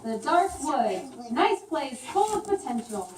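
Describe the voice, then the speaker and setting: A woman's voice: high-pitched, sing-song, slow pace, voice pushed up, heavily acted. A woman voicing a sly male rat map seller in a puppet story, pushing his wares in clipped, folksy patter. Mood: wheedling. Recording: roomy, distant mic, faint noise.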